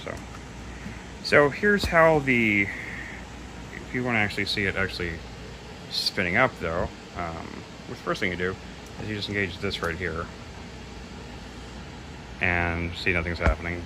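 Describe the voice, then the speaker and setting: Low-voiced man, noisy casual demo. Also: unhurried, long pauses. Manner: hesitant, loose, admits gaps in knowledge. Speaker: presenter demonstrating audio equipment. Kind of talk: casual unscripted product demo. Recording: clearly noisy home recording.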